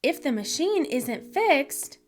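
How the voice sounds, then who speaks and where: A woman voicing a helpful, excitable little robot friend in a children's storybook read-aloud. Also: sits high, slow, voice pushed up, full character voice. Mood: earnest, explaining.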